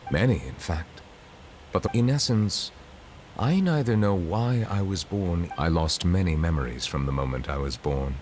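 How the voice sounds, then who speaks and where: An actor playing a grave, formal dragon lord in human form in a video game, speaking politely and in measured phrases. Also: male voice, low-pitched, animated, noisy background. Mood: reflective. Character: solemn, courteous dragon lord in human form, reserved judge. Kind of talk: video game character dialogue.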